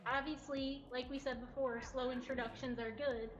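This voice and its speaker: A woman's voice: high voice, little pitch movement, moderate pace. A giggly, affectionate woman chatting casually as she hosts a live showcase stream. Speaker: cat cafe livestream host. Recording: dull lo-fi livestream audio. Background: faint music.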